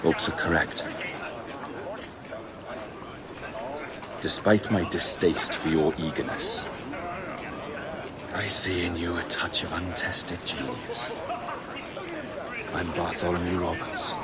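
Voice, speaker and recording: An actor playing a proud pirate captain in a video game, speaking in florid, theatrical oratory. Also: male voice, deep voice, steady tone, a little slow, long pauses, very noisy. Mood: measured, cool. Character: grandiloquent, proud pirate captain addressing his crew. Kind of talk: dramatic video game acting.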